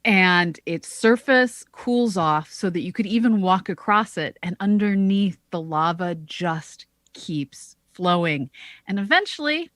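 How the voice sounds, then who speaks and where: A woman co-hosting a science podcast, explaining in a measured, careful way. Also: on the low side, expressive, a bit bright, dry recording, studio quality. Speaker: podcast co-host, astronomer.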